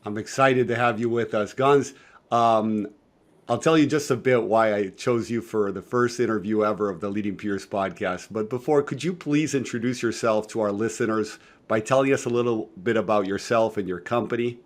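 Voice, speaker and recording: A man's voice: fairly low pitch, moderate pace. A man hosting an interview podcast, asking friendly conversational questions. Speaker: podcast host.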